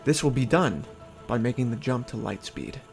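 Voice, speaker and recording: An actor playing a calm, earnest young male inventor with a gravelly voice and a dry, teasing manner. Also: medium pitch, normal speed, over music. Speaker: inventor and narrator. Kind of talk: fan audio drama acting.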